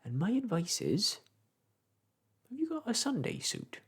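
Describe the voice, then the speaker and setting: A man's voice: high-pitched, huge pitch swings, a little slow, slightly rough, pitched above the performer's own voice, full character voice. A man doing a rambling old village clerk. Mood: eager. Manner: rambling, folksy, lecturing. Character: garrulous old male village parish clerk.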